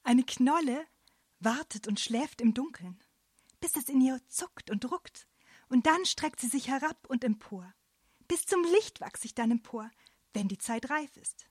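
A woman playing a knowing, amused talking plant tuber in a children's radio play, with a playful lilt and husky voice. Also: high-pitched, expressive, a little slow, dry recording, studio quality, exaggerated voice.

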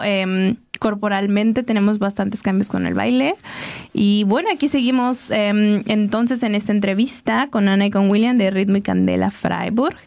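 A woman hosting a casual radio interview, often affirming her guests with soft laughs and fillers. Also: mid-range voice, vocal fry. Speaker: radio show host.

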